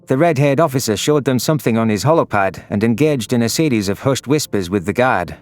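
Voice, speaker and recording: Male narrator, medium pitch, scripted dramatic sci-fi storytelling with music underneath. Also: animated, a little fast, no echo. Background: background music.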